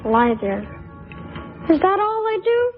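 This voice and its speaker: A woman's voice: very high-pitched, very animated, slow, full character voice. A woman voicing a bedridden young boy, wistful and morbid, in a radio drama, plaintive and questioning. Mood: curious. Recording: dull muffled audio under music.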